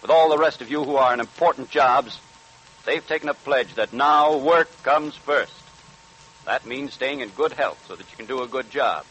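A man's voice: mid-range voice, normal speed, a bit bright, breathy edge, low-quality recording. A man reading a scripted sponsor message as a 1940s radio announcer.

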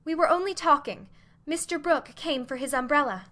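A woman's voice: high voice, normal speed, sharp tone, voice pushed up. A woman voicing a shy, flustered teenage girl with a petulant streak and a faltering manner in a storybook reading. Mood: nervous. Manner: faltering, stammering.

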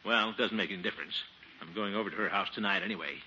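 An actor playing a pompous, flustered middle-aged uncle, stammering and blustering with nervous chuckles in a 1950s radio sitcom. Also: male voice, lowish voice, very fast, pushed and bright. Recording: dull, faintly noisy old radio recording.

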